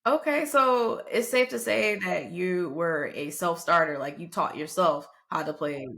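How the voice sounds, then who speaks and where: A woman's voice: lowish voice, unhurried, gravelly, a bit bright. A woman hosting an interview podcast, asking chatty questions with some restarts. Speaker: podcast host.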